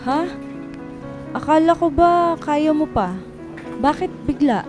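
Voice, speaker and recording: An actress playing a loyal, protective office friend in a radio drama, speaking with earnest concern. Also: female voice, sits high, takes it slowly, music in the background. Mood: gentle concern.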